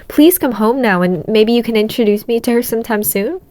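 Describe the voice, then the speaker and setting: A protective aunt acted out by a woman reading a story, pitched up and lively. She fusses and questions, keen to know everything about the girl in her care. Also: a little fast, no echo, natural voice. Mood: worried, suspicious. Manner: fussing, questioning.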